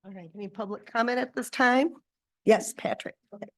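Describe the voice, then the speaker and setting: Woman running a township board meeting, brisk and friendly, just calling agenda items. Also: fairly high pitch, fairly bright tone. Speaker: board chair. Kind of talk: chairing a public meeting.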